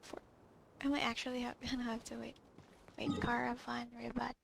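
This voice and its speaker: A woman gamer giving casual, off-the-cuff commentary, halting and muttering to herself as she plays. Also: a little high, monotone, vocal fry, background noise. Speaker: video game player.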